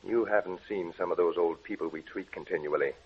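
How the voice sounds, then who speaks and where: A brooding, obsessed man of science, speaking low and husky. His delivery is grave, intense and melodramatic in the old radio-play manner. The sound is that of a muffled vintage broadcast. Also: brisk. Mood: bitter. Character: brooding, obsessed male scientist plotting to kill his beloved. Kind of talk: old-time radio drama acting.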